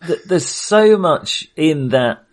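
A man's voice: a little high, animated, takes it slowly. A man co-hosting a film podcast, talking casually in a hesitant, self-qualifying way with real enthusiasm.

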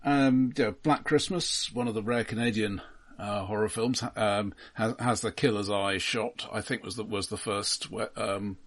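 Low-voiced man, halting and explanatory. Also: steady tone. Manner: hesitant, halting, measured, explanatory. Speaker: film podcast co-host. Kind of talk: casual film discussion.

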